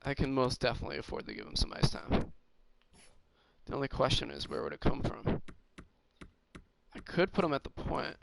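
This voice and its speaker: A man with a slightly hoarse, raspy voice, casually commentating on his own game and thinking aloud with lots of fillers. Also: medium pitch, sing-song, normal speed, long pauses, noisy background. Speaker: gaming streamer.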